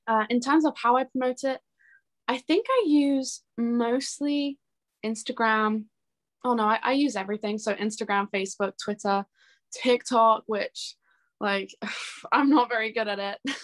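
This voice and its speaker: A young woman talking chattily and earnestly as a guest in a casual interview. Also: a little high. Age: young adult. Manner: chatty, earnest, laughs easily. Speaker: interview guest, singer-songwriter.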